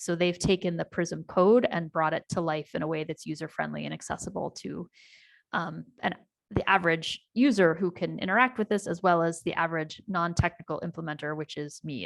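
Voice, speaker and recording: American woman with a low, gravelly voice. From United States. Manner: warm storytelling with frequent ums and restarts. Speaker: community presenter. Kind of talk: online town hall presentation. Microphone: video call.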